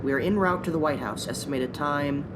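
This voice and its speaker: A woman's voice: low-pitched, fairly quick, natural voice. A woman as a blunt agent, noisy vehicle behind. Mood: dry, blunt. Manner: blunt, scolding. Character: blunt, gruff security agent partner, quick to scold. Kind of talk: radio play acting.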